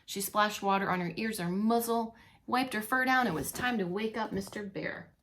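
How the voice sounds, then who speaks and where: A woman reading a storybook aloud to young children as a teacher, expressively, pausing to comment and ask questions. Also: medium pitch, a bit bright, slight background noise. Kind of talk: animated read-along storytelling for young children.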